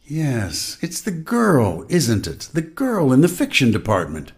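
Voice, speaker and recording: Man performing urgent inner voice, needling, bossy, clipped commands, mid-pitched, very lively intonation, radio drama. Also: a little slow. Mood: needling, cautioning. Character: Winston's inner voice, urgent, warning, needling male conscience.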